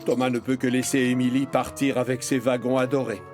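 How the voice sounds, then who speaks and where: A man narrating a children's story from a script in an expressive storytelling manner. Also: medium pitch, a little fast, music in the background.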